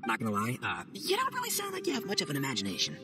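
A boisterous young male oni gang boss talks in loud, slangy bursts. His pitch swings wildly from low growls to high yelps. Game music plays under him. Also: wildly swooping pitch, racing. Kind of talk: video game voice acting.

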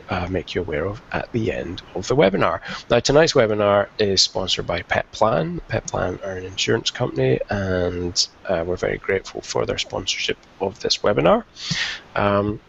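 Gravelly man presenting a webinar. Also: lowish voice, expressive, normal speed. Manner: chatty and informal, many ums and restarts. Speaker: veterinarian presenter. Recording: dull lo-fi webinar audio.

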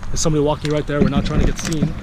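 A hyped young man with a slightly high voice narrates an exploration vlog, speaking into a handheld action camera on an open deck by the water. Wind and water noise sit clearly behind him. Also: flat delivery, racing. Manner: hyped, repeats "guys" and "literally". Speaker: vlogger host. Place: outdoors on a rusted wrecked ship by water.

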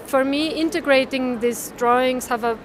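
A woman, talking haltingly and thoughtfully about her artwork, often tagging phrases with "no?". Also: high-pitched, fairly even intonation, noisy background. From Mexico. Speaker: artist. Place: large indoor art fair hall. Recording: crowd chatter in a busy hall.

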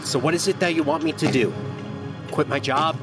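A man acts a cornered construction worker in an audio drama. He is defiant and uneasy, on the high side for a man, with music loud behind him. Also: fairly quick, lightly acted. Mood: defensive, uneasy.

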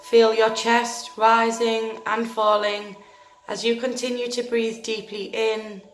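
A woman leading a guided meditation in a gentle, soothing, deliberate manner, leaving long pauses between phrases. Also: a little high, level tone. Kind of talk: guided meditation, scripted narration. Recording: roomy echo with faint music behind.

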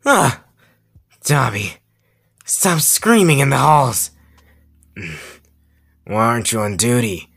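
A man voicing an irritable, excitable male villain gang leader who scolds loudly in an anime audio roleplay. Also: medium pitch, slow, gravelly, long pauses, pitched above the performer's own voice, exaggerated voice. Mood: angry.

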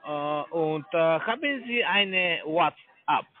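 Guy on a tinny phone line, broken german, slow, repeats himself, voice jumps up and down a lot. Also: fairly high pitch, animated. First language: not German. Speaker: scam call center caller. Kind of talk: phone call.

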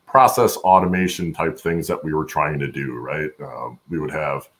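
A middle-aged man with a gravelly voice, answering conversationally as an interview guest on a video call. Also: a bass voice, moderate pace, a bit bright. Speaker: podcast guest, supply chain executive. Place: indoor room at home, on a video call.

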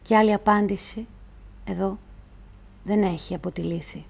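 A woman reciting literary poetry in a measured, deliberate way, with pauses between phrases. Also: medium pitch, steady tone, creaky, a little mellow, slightly rough. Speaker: poetry reader.

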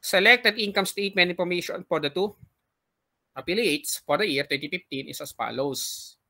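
A man's voice: sits high, moderate pace, pushed and bright. A young Filipino-accented man giving an informal recorded lecture as an accounting teacher, explaining step by step with frequent little check-in tags. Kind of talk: informal recorded lecture, talking through worked problems.

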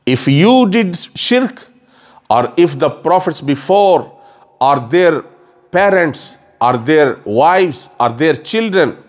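A middle-aged man giving a sermon lecture in a mosque, explaining earnestly and repeating phrases as he gestures, into a stand mic on a table. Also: a little high. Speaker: religious scholar. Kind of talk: sermon lecture with Quran recitation. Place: mosque lecture room, indoors.

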